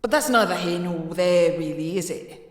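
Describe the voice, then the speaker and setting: A woman's voice: fairly low pitch, moderate pace, dry recording, studio quality, lightly acted. A woman voicing an eccentric, menacing, ancient street crone in a comic dub, rambling and cajoling with old-fashioned endearments. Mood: rambling, cryptic. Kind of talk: comic dub character acting.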